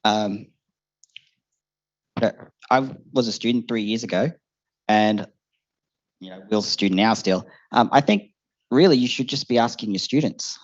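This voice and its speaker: A young man in his twenties answering on a discussion panel, thinking aloud with fillers and restarts. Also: lowish voice. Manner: thoughtful, many fillers and restarts. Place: seminar hall before an audience. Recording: muffled lo-fi event recording.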